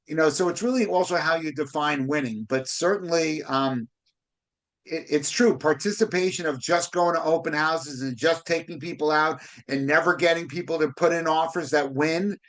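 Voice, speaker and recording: A slightly high-voiced man hosts a podcast and chats casually with his guest. He speaks haltingly, often repeating words as he feels his way through a sentence. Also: fairly bright tone. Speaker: podcast host, real estate broker. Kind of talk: conversational podcast interview.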